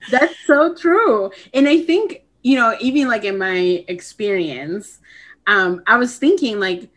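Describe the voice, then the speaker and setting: A woman's voice: fairly high pitch, animated, slow pace. A young woman hosting a podcast, affirming her guest and thinking aloud about family and immigration. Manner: warm affirming backchannels, thoughtful. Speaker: podcast host. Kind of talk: casual conversation.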